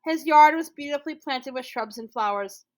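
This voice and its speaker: A woman reading a children's picture book aloud expressively, stressing words and drawing out repetitions for effect. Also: high-pitched. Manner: emphatic, with drawn-out repetitions for effect. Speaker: school storyteller.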